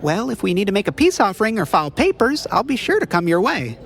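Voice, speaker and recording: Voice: mid-range voice, quick pace, gravelly. An actor playing a dry, capable government agent who teases a detective partner in an audio drama. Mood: confident, wry. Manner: dry, deadpan teasing.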